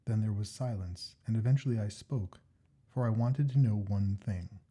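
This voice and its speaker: A man reading a classic ghost story aloud as scripted narration, in a measured, expressive manner with deliberate phrasing. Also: on the low side, breathy edge. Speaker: story reader.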